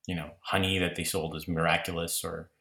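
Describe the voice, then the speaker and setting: Deep-voiced reflective man, roomy echo. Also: flat delivery, brisk, gravelly, pushed and bright. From Toronto, Canada. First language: Greek. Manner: reflective, rambling, many restarts. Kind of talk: casual conversation.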